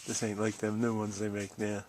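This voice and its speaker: Low, even-toned American man, noisy recording. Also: a little fast. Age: middle-aged or older. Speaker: DIY video host. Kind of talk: casual hands-on show-and-tell.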